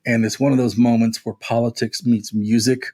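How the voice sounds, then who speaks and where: A man's voice: medium pitch, level tone, normal speed, mellow. A man talking casually and at length as a music podcast panelist, with an enthusiastic, discursive manner.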